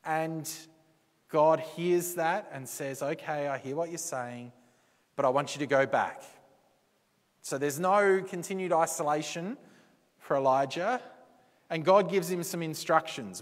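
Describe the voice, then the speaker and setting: A man preaching a sermon in a church, slow and deliberate, with dramatic pauses and quotes he acts out. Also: a little high. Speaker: pastor. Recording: reverberant church hall.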